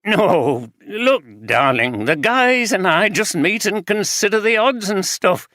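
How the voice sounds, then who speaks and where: Reader doing a guilty husband begging, kinda higher voice, sheepish, super dry recording. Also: male voice, animated, takes it slowly, pushed and bright, no room sound, heavily acted. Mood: pleading. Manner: pleading, evasive, nervous. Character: sheepish middle-aged husband caught out. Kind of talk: audiobook character reading.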